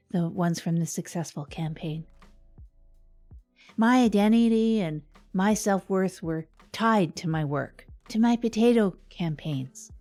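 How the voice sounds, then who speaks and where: A woman playing an earnest, rambling radio host in a comedy show, speaking in a halting, confiding way. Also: mid-range voice, warm tone, natural voice. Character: earnest, rambling woman radio host, self-help minded failed marketer. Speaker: podcast host character.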